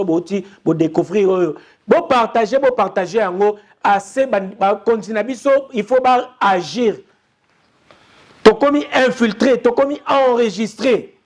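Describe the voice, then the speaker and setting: Young congolese guy ranting urgently on a news show, pretty high voice. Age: young adult. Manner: urgent, insistent, repetitive appeals. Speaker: news commentator. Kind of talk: impassioned commentary.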